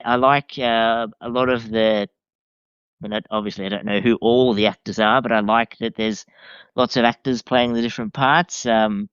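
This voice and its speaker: An Australian man chatting casually as a podcast guest, enthusiastic but hesitant and halting in his phrasing. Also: mid-range voice, fairly even intonation, fairly bright tone, smooth, dry recording, lo-fi sound. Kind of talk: casual chat.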